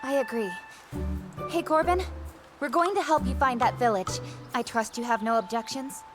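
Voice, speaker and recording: A courteous young female rider in a fantasy game. Her voice is high, with lively intonation. She speaks politely and articulately over background music. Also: moderate pace. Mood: polite, composed. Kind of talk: game cutscene acting.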